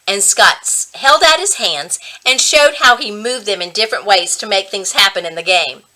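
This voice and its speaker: Bright, animated woman reading a storybook. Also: medium pitch, moderate pace, low-quality recording. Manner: expressive, dramatic storytelling. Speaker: reading coach reading aloud. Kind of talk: storybook narration, read-aloud to children.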